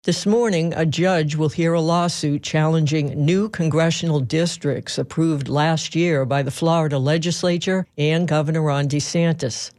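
A man's voice: fairly high pitch, fairly even intonation, normal speed, hardly any pauses, darkish tone. A man reading scripted news as a radio anchor.

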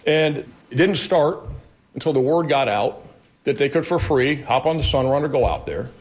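A man's voice: mid-range voice, expressive, normal speed. A male law enforcement official with a mid-range voice. He speaks in an unscripted public statement, laying out his points. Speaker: county sheriff.

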